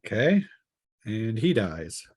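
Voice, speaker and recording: A man narrating a tabletop roleplaying game as game master, in a casual, halting way as he thinks aloud. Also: on the low side, big pitch swings, breathy edge, dry recording, clean studio sound.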